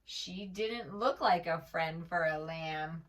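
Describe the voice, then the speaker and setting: A woman telling a children's story in an expressive, gentle manner, as a read-aloud for preschoolers. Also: on the low side, takes it slowly, far from the mic. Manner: expressive, gentle, asks the listener questions.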